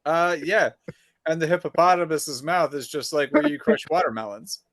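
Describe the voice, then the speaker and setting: A man co-hosting a podcast, chatting casually in a relaxed way, with fillers and repeated phrases. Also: fairly high pitch, normal speed. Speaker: podcast co-host. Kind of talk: casual chat.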